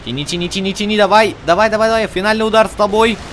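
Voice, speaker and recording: A man doing casual, slangy let's-play commentary, excitedly urging the game's characters on as he plays. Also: sits high, very fast, a little hiss or hum. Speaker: gaming channel host. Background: game music and effects.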